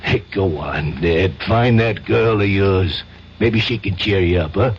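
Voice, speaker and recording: An actor playing a streetwise, chummy but proud crony in a radio drama, talking in a slangy, pushy, familiar way. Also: male voice, low voice, unhurried. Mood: insistent, chummy. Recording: dull, slightly noisy old radio broadcast.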